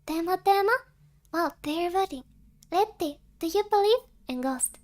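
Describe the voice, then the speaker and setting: Cutesy girl-voiced woman vtuber, really high pitch, giggly casual chat w bits of japanese. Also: expressive, a little slow, a little mellow. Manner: giggly, cutesy, peppers talk with Japanese words. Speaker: VTuber host and streamer. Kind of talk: casual chat and soft-spoken ghost storytelling.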